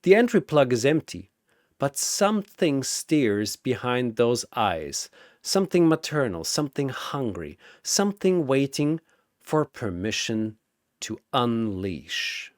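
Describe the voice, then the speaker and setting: Man, dramatic battle narrator, theatrical, slow and deliberate, very animated pitch, dry close sound. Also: dry recording.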